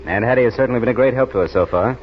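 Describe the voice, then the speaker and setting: A man's voice: medium pitch, racing, lightly acted. An actor playing a composed, matter-of-fact man in an old radio adventure serial. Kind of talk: 1930s radio serial acting. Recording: dull, noisy old radio recording.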